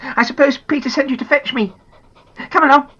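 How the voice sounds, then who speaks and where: A woman voicing an excitable young schoolgirl, high and pleading, in a slightly dull recording. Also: brisk. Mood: eager excited.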